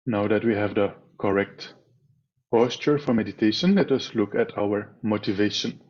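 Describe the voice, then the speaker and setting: Husky low-voiced man guiding a meditation. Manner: measured, pausing between short phrases. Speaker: meditation teacher. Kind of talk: guided meditation instruction. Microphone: video call microphone. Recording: slightly dull, lo-fi video call audio.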